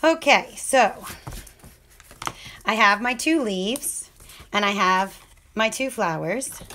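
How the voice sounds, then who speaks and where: A woman with a scratchy voice talks through a paper-craft project at a slow, unhurried pace. She chats casually, apologizes often and murmurs half to herself as she works. Faint clicks of tools and paper sound behind her. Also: mid-range voice, animated, bright, forceful tone. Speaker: crafting demonstrator. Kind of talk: casual hands-on craft tutorial.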